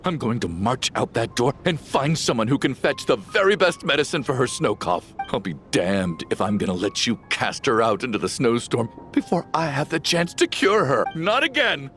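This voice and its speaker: A man's voice: medium pitch, big pitch swings, normal speed, few pauses. A grieving, desperate father. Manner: anguished, pleading, rising to shouts. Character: grieving middle-aged father, stubborn and desperate. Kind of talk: video game voice acting. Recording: game audio with faint music.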